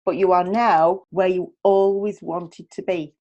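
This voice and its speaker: A woman's voice: fairly low pitch, fairly even intonation, measured pace, dark tone. Woman podcast host, slightly dull sound. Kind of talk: chatty podcast interview and presenting.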